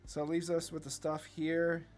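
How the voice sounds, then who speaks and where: A man's voice: a little high, completely flat delivery, moderate pace. Casual gaming man talking over music. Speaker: card game video host. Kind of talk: casual gameplay commentary, thinking aloud. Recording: music under the voice.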